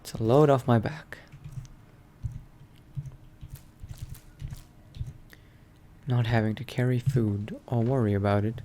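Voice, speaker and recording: A man playing a thoughtful scholar who is turning into a vampire, musing aloud haltingly in an improvised roleplay monologue. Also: medium pitch, warm tone, a little hiss or hum, close to an everyday voice.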